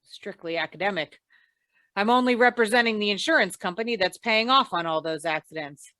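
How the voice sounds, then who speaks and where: A woman playing a wry, deadpan female insurance investigator in old-time radio noir style. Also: mid-range voice, fairly quick, dry recording. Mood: dry, probing. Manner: deadpan, wisecracking.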